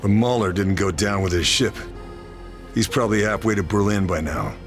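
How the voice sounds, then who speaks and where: Actor as a very deep, stoic military sniper. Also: male voice, normal speed, lightly acted. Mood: dry, curt. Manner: terse, dry, resolute. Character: stoic, laconic male Allied sniper lieutenant. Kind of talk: cinematic war game dialogue and narration. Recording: music under the voice.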